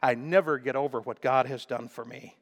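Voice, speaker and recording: A man preaching a sermon with emphatic delivery, often clearing his throat, his voice a little crackly. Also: mid-range voice, steady tone, fairly quick. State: slightly crackly voice. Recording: long reverb of a large hall.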